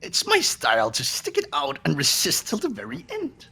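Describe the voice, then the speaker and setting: A performer voicing a sadistic, gleeful robot bear headmaster in a game, with a raspy cartoonish voice and a theatrical, taunting manner. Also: medium pitch, huge pitch swings, normal speed, pushed and bright, faint music behind, heavily acted. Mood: mock heroic. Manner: theatrical, mock-innocent, swooping, taunting. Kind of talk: fan voiced visual novel playthrough.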